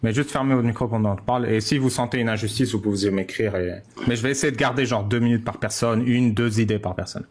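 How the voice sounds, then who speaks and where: A calm male moderator in an online debate with a mid-range voice. He sets the rules plainly and passes the floor between speakers. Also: mid-range voice, quick pace, few pauses.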